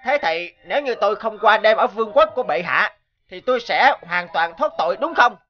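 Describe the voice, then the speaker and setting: A man voicing a brash, free-spirited court clown with a mocking, theatrical manner in an animated fairy tale. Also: sits high, a little fast, muffled recording. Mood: slyly polite.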